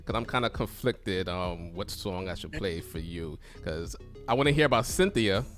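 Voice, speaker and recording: A man's voice: sits low, lively intonation, normal speed, fairly bright tone, soft background music. A man hosting a live radio show, talking informally with a guest and often circling back to thanks and recaps.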